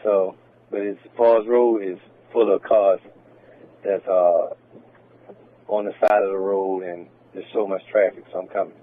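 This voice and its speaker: A man's voice: sits low, level tone, measured pace, narrow, tinny audio, a little hiss or hum. A male police officer talking over a police radio. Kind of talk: radio dispatch traffic.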